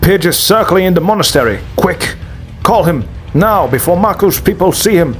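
A man's voice: high-pitched, expressive, normal speed, soft background music. A man voicing a grave, loyal older knight mentor with formal speech in a fan dub. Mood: urgent. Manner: formal, avoids contractions.

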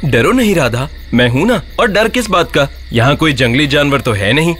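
A man voicing a brave, clever young village husband, polite and persuasive, in an animated story. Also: on the high side, moderate pace, slight background noise. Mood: reassuring.

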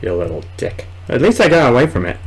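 A young man giving casual, excitable commentary while playing a horror game, muttering and exclaiming to himself. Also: medium pitch, fast talker, slight background noise, low-quality recording. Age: young adult. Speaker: gamer recording a let's play. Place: small indoor room at home.

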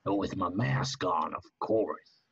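A man playing a meek, chatty, conscientious henchman with a husky, gravelly voice, earnest and deferential in a crime drama. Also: deep voice, takes it slowly, fairly bright tone, highs cut off, a little hiss or hum, exaggerated voice. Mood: earnest hesitant. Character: meek, chatty, conscientious hired thug reporting to boss.

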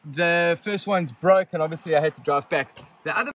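Male, forties, a touch high-pitched, casual rambling gear-review delivery on a handheld phone. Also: a little fast, fairly bright tone, a little hiss or hum, poor audio. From Southern Africa. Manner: chatty, rambling, with frequent ums and restarts. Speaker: vlogger reviewing his rooftop tent. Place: inside a rooftop tent, then outdoors beside a vehicle in the bush. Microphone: handheld phone camera at arm's length.

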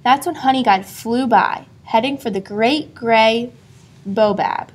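A woman reading a children's story aloud expressively, pausing to put questions to a young listener. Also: a little high. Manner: asks a child engaging questions. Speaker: storybook reader.

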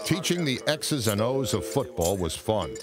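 A man's voice: lowish voice, sing-song, moderate pace, loud music behind the voice. A man narrating a sports feature in a scripted reporter delivery.

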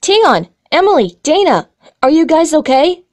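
Woman as a high, lively, amazed cartoon girl. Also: unhurried. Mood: concerned.

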